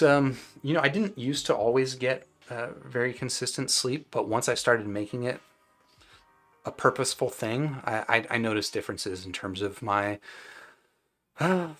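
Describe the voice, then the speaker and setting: A man's voice: lowish voice, fairly bright tone. A man hosting a casual live stream, answering viewers in a conversational, hedging and self-deprecating way. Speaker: host of a live-streamed audio gear review. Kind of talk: casual livestream chat, reading and answering viewer questions.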